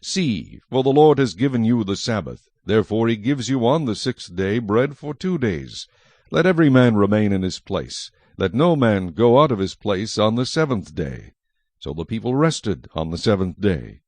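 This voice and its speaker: A man narrating scripture from a script as a solemn, measured storyteller. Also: lowish voice, sing-song, a little mellow, no echo.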